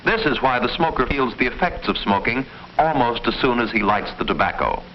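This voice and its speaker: A man reading formal, measured, didactic scripted narration in the style of a mid-century educational film. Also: medium pitch, very animated. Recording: muffled, noisy old film soundtrack.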